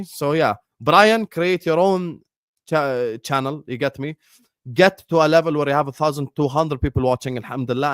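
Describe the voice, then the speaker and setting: A man's voice: medium pitch, fairly bright tone, no room sound, clean studio sound. A man from Jordan whose first language is Arabic, hosting a football live stream in a combative, repetitive way. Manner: combative, repeats phrases, fillers like "you get me". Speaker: football channel host.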